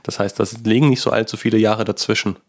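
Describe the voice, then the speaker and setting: A man's voice: on the low side, fairly even intonation, quick pace. A man interviewing a guest in a chatty, probing way, thinking aloud as he builds his questions. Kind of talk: conversational interview.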